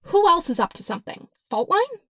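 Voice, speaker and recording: Woman playing a brash young superheroine, high voice, taunting interrogator, audiobook drama, very little room sound. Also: sing-song, brisk, fairly bright tone, no echo, studio quality, exaggerated voice. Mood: probing. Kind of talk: audiobook character acting.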